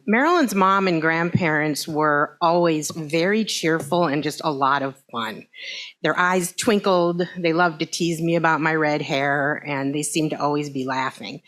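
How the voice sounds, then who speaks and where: Woman, sixties, low pitch, informal congregation talk, conversational, discursive. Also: a bit bright. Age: 60s. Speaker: congregation speaker.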